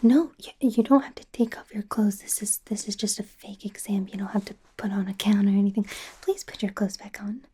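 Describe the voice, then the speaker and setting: A woman playing an anxious, awkward young female werewolf medical student in a soft, breathy, whispered ASMR roleplay, speaking hesitantly with stammers. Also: medium pitch, steady tone, a little fast. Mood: awkwardly formal. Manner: hesitant, stammering, flustered and gentle.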